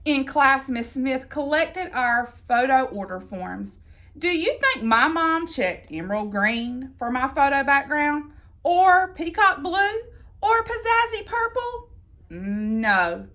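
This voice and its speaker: Woman, high voice, very lively intonation, children's storybook reading, theatrical emphasis, deliberate phrasing. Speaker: storybook reader. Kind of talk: expressive read-aloud of a children's picture book.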